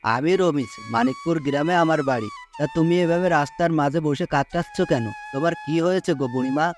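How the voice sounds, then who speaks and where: A kind, weary poor farmer husband in a cartoon, with a slightly raised, plain voice, as a man acts him out. He talks patiently, grumbling now and then, over lively background music. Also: a little high, brisk, lightly acted.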